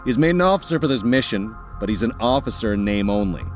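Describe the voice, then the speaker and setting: A man's voice: lowish voice, expressive, fast, soft background music, lightly acted. An actor playing a male intelligence agent reading out a dossier in a factual briefing tone.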